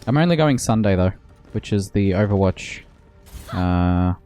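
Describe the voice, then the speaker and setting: A man's voice: low voice, expressive, measured pace, warm tone. A man, a game streamer, chatting casually while he plays. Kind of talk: casual chat while gaming. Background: game music.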